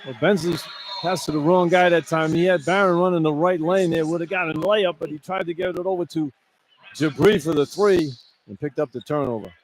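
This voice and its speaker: A man's voice: a little high, brisk, low-quality recording. A man giving conversational, opinionated color commentary on a live basketball radio broadcast. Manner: conversational, opinionated explanations of plays. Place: courtside in a basketball arena. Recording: clear arena noise behind the voice. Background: arena ambience.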